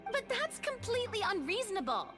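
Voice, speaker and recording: A woman's voice: extremely high pitch, big pitch swings, a little fast. An actress voicing an earnest, thoughtful and slightly anxious young woman adventurer in a video game, with a polite manner. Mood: dismayed. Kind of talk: game character dialogue. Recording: echoing hall, faint game music.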